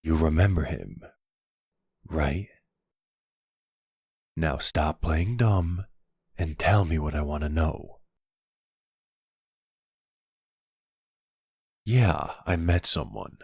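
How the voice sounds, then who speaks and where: A man playing a protective mobster boyfriend in an ASMR roleplay audio drama, tender but dangerous, with a gravelly, husky voice. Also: a bass voice, slow pace, pauses often, a little mellow, dry recording, studio quality, heavily acted. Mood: cold, menacing.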